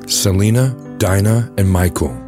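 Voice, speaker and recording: A man's voice: lowish voice, lively intonation, a little slow. A man reading scripted narration for an audio drama. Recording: music under the voice. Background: music.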